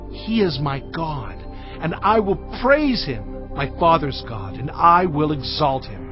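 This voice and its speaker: An actor playing Moses, reciting a song of praise in a slow, exalted, declaiming way. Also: male voice, a little high. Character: Moses, devout male leader singing praise. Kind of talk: dramatized scripture recitation. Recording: muffled, with music underneath.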